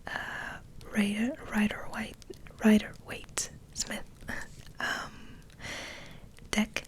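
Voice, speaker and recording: A woman hosting ASMR, rambling in a soft, breathy, hesitant whisper. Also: mid-range voice, little pitch movement, very slow. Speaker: ASMR video host.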